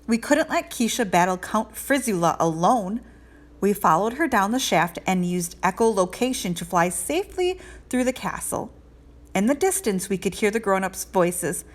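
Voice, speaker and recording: A woman narrates a children's story as a teacher would in a read-aloud. Her voice sits at a middle pitch, and her delivery is expressive. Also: moderate pace.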